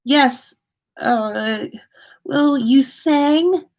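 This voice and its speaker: A woman voicing a bewildered, uneasy schoolboy with a halting, puzzled way of talking, in a storybook reading. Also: high-pitched, a little mellow, voice pushed up, exaggerated voice. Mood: awkward, amused. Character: bewildered, horrified schoolboy who hears phantom singing.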